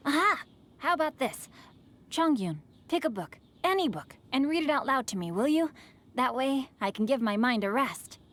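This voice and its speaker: A woman's voice: high voice, animated, moderate pace, a bit bright. A woman voicing a refined, playful, bookish teenage boy in a video game, with a florid, genteel manner.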